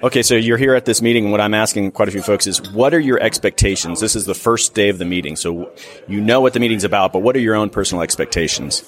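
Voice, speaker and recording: Man, interviewer at an event, brisk, friendly, quick speech. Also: on the low side. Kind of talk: on-site interview.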